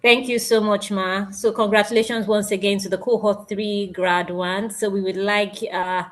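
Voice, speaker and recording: A woman acts as master of ceremonies, speaking at a medium pitch. Her hosting is polite and informal. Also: level tone, moderate pace, a bit bright. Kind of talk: informal online event hosting.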